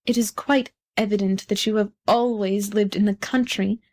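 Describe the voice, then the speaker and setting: A woman's voice: medium pitch, fairly even intonation, moderate pace, a little mellow, natural voice. A woman voicing a pompous, vain storybook rocket. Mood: haughty, disdainful. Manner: pompous, condescending. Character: vain, haughty, boastful male firework rocket. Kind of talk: storybook character reading.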